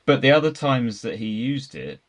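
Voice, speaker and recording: A man's voice: mid-range voice, very animated, normal speed. A man chatting casually about films as a podcast panelist, explaining his points step by step. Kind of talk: casual film discussion.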